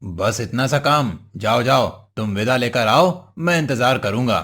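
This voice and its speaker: A man playing a menacing devil master in a cartoon moral story, with a slow, drawn-out, commanding delivery. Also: mid-range voice, expressive, a bit bright, husky, exaggerated voice. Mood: condescending. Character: menacing male devil master, easily outwitted.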